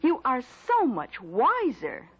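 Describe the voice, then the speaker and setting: A muffled vintage radio drama recording of a genteel older female schemer. Her high, swooping voice is airy, florid and mock-polite, with smug amusement. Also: huge pitch swings, slow pace, heavy background noise, exaggerated voice. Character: sly, genteel scheming mother, smugly amused swindler. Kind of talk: old-time radio drama acting.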